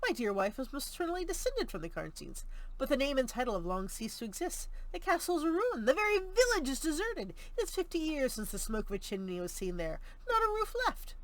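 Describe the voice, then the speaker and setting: A woman voicing a cordial, sensible older gentleman, a father, in an audiobook, with a courteous, lively manner. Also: sits high, brisk, pitched above the performer's own voice, heavily acted, poor audio. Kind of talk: audiobook character reading.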